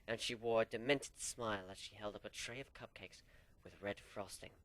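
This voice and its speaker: A man narrating a fan story in the first person as an amateur dramatic reading, animated and sinking low for suspense. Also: normal speed. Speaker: story reader.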